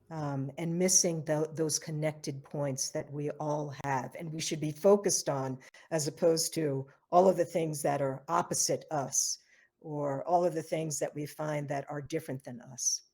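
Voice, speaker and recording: A woman's voice: sits low, steady tone, a little slow, dark tone. A woman hosting an online interview, affirming her guest with frequent fillers and repeated words. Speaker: host of foundation interview.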